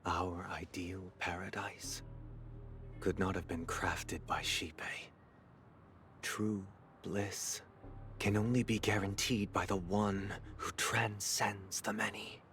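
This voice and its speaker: A solemn believer in a game drama, gentle on the surface and coldly resolute. The voice is very low and breathy, moving slowly and ceremonially over faint music. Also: male voice, flat delivery. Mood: quiet conviction. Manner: grave, ceremonial, deliberate. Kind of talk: dramatic video game voice acting.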